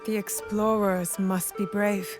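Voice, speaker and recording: A woman's voice: medium pitch, level tone, a little slow, music in the background, natural voice. An actress playing a bold, spirited young woman warrior in a game drama, with a direct way of talking. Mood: eager. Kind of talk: dramatic game cutscene acting.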